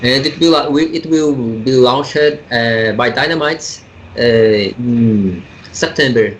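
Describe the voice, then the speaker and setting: A man from Brazil with a Brazilian Portuguese accent, a comic artist guest speaking English as a second language, halting and searching for words. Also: medium pitch, a little hiss or hum, rough recording. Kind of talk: casual interview over a call.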